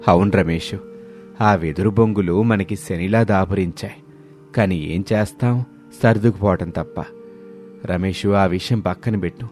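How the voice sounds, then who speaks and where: Man voicing a low-pitched village father in his sixties. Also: normal speed, darkish tone, soft background music, close to an everyday voice. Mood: resigned. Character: worried sixty-year-old village father, plain-spoken and expressive.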